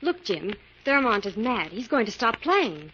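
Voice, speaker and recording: Bright-voiced, eager radio sidekick. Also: female voice, a little high, sing-song, measured pace. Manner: earnest, inquisitive. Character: earnest, eager young female detective's assistant. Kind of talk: old radio drama acting. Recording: dull lo-fi old radio, faint noise.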